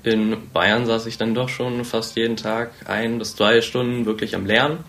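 A man's voice: fairly low pitch, little pitch movement, normal speed, fairly bright tone. A man describing his own experiences as a student in a plain, matter-of-fact way. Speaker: interviewed student.

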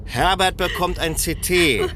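An actor playing a glib, placating middle-aged businessman in a radio drama, hurried and coaxing. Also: high-pitched, sharp tone, a little hiss or hum. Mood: reassuring, coaxing. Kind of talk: naturalistic German radio drama acting.

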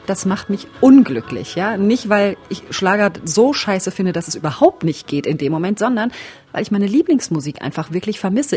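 A woman's voice: medium pitch, a little mellow. A woman, a radio journalist, talking through her music challenge in a chatty, frank and self-mocking way. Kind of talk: casual first-person reportage.

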